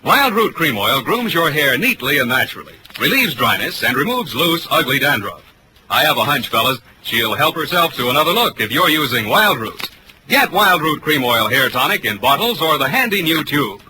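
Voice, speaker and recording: A man's voice: on the high side, big pitch swings, normal speed, background noise. A man announcing in 1940s radio commercial style, with a polished, emphatic salesman's delivery. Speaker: radio announcer and sponsor pitchman. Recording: vintage radio broadcast recording.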